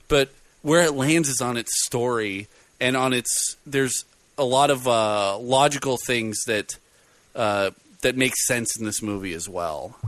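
A man in casual chat, reviewing a movie with a loud voice, rambling and full of fillers. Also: medium pitch, no echo. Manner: rambling, animated storyteller with many fillers. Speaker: podcast co-host reviewing a film.

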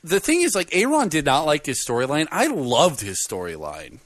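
A man in casual chat, reviewing a movie with a loud voice, rambling and full of fillers. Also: a little high, normal speed, dry recording, studio quality. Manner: rambling, animated storyteller with many fillers. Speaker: podcast co-host reviewing a film.

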